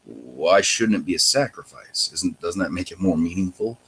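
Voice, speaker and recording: A young man from Maine with a deep voice, hosting and telling his own life story in a rambling, candid way that turns heated and emphatic. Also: lively intonation, normal speed, a bit bright, husky. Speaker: podcast host. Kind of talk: personal testimony monologue.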